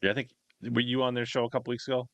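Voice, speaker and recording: A man's voice: medium pitch, monotone, rapid-fire, a bit bright, dry recording. A man hosting a fishing livestream, chatting casually and ramblingly as he passes on questions to his guest. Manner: rambling, reads out viewer questions. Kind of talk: casual live-stream interview chat.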